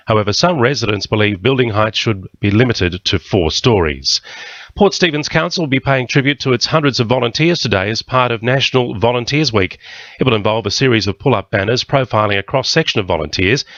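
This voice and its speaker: A man with an Australian accent reads a scripted news bulletin in a fairly low voice. The sound is dry and slightly dull, as on a modest radio recording. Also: fairly bright tone, dry recording.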